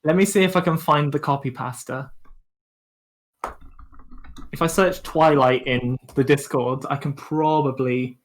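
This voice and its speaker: A young co-host in casual live-stream banter. The voice sits at a middle pitch and talks informally, as if chatting with a friend and viewers. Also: fairly even intonation, measured pace, pauses often. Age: young adult. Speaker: co-host of a student gaming charity-style stream.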